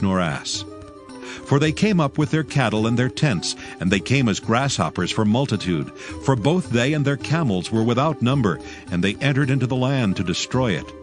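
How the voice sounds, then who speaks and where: A man giving a measured, solemn scripted narration of scripture. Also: medium pitch. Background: music.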